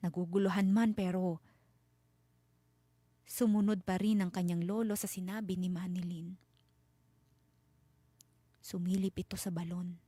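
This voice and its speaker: A woman storyteller giving a dramatic scripted horror narration with suspenseful, deliberate phrasing. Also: on the low side, fairly even intonation, warm tone, no echo.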